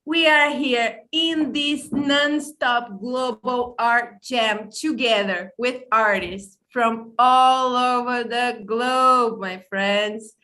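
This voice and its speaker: A woman from Brazil, speaking English as a second language, hosts a casual online podcast over a video call. She talks slowly in a fairly high voice, effusive and a little halting, pausing to correct herself. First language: not English. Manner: warm, effusive, stumbles and apologizes often. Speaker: podcast host. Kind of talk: casual online livestream hosting.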